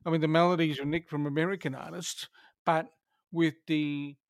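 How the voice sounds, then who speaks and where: A man in casual conversation, thoughtful and halting, often restarting his sentences. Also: fairly high pitch, level tone, dry recording, clean studio sound.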